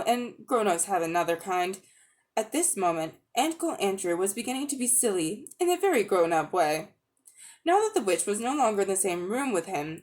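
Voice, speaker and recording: A woman narrating a children's novel as a dramatic, expressive audiobook reading. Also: medium pitch. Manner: expressive, storytelling delivery. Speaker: audiobook reader.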